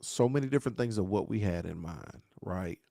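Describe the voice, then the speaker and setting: A pastor who talks to viewers in a preachy, repeating way and asks "right?" again and again. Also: male voice, on the low side, lively intonation, fairly quick, creaky, warm tone. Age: 40s. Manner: dialect grammar, says "y'all". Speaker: pastor hosting a grief talk show. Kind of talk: live-stream talk answering viewer questions.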